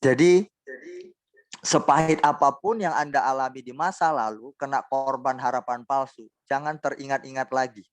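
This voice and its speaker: A man preaching in a relaxed, joking way and calling on church members by name during an online service. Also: medium pitch, normal speed, no room sound. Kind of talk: informal sermon and group chat.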